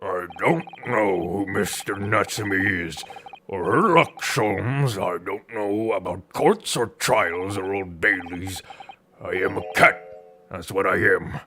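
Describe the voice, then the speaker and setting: A man's voice: low-pitched, noisy background. Man putting on a melodramatic, despairing scholar. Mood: blank denial. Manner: halting, theatrical. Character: despairing, melodramatic Japanese scholar fleeing reality.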